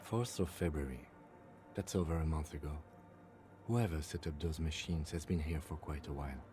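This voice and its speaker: A man voicing a calm, reserved police lieutenant in a video game, speaking dryly and skeptically. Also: very deep voice, normal speed, music in the background. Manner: dry, level and skeptical.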